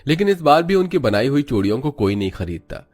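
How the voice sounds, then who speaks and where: A man reading scripted narration for an animated family story. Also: medium pitch, brisk.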